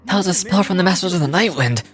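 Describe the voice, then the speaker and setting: A woman's voice: on the low side, lively intonation, fast, soft background music, voice pushed down, close to an everyday voice. A woman voicing a wary, curious scout in a game, musing in puzzlement. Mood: astonished.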